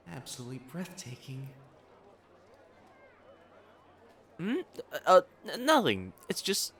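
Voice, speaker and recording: A man's voice: fairly high pitch, bright, forceful tone, slight background noise, heavily acted. A man playing a pompous, easily flustered young divine matchmaker in a romantic audio roleplay, speaking formally and stammering. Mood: flustered, smitten. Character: haughty, flustered, lovestruck young male divine matchmaker in imperial China.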